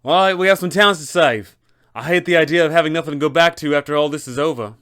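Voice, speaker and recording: A cocky wizard of illusions, played by a man, boastful and scornful in a theatrical way. His clear voice rides a little above the performer's usual pitch, made for a tabletop fantasy game. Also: fast talker, a bit bright, lightly acted. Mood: defiant.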